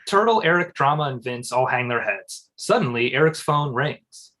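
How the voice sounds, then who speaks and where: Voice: medium pitch, expressive, normal speed, a bit bright. A narrator reading screenplay stage directions aloud in scripted narration for a table read.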